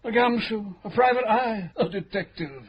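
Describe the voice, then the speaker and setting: An actor playing a dapper ageing rogue turned private eye, theatrical and florid, in a radio drama. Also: male voice, high voice, normal speed, husky, muffled recording. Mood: jaunty. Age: older. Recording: old radio play with crowd behind.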